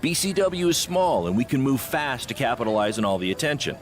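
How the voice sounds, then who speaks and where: An actor playing a confident, persuasive small-time wrestling promoter in scripted game dialogue. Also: male voice, medium pitch, big pitch swings, brisk, close to an everyday voice.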